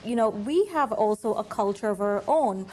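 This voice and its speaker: A middle-aged woman speaking in a measured, qualifying way as a guest on a discussion panel. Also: mid-range voice, animated, darkish tone, poor audio.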